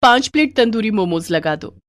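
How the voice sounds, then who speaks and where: Woman doing excited young college girl customer, cheerful storybook voice, very up and down. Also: mid-range voice, moderate pace, no room sound, clean studio sound, lightly acted. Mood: eager, delighted. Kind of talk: Hindi moral story character voice.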